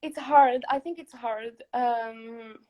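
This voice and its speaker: A young woman from Morocco hosting a casual interview over a video call, chatty and quick to laugh. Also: fairly high pitch, warm tone. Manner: chatty, laughs often, many fillers. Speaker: podcast host.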